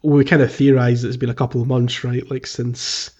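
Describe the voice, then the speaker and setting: A man's voice: mid-range voice, flat delivery, moderate pace, warm tone. A man co-hosting a gaming podcast in casual, conversational talk. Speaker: podcast host. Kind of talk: casual game discussion podcast. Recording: slightly dull, lo-fi voice track.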